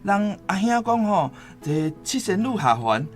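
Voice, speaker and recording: A man's voice: sits high, sing-song, a little slow. An actor playing an easygoing, soothing father of many daughters in a Taiwanese Hokkien radio drama. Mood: tender, reassuring. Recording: music behind.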